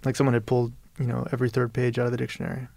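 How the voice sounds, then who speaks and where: Gravelly-voiced man, flat and thoughtful. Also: mid-range voice, racing, dry recording. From Peru. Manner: thoughtful, searching, many restarts and "you know". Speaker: guest writer. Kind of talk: reflective interview conversation.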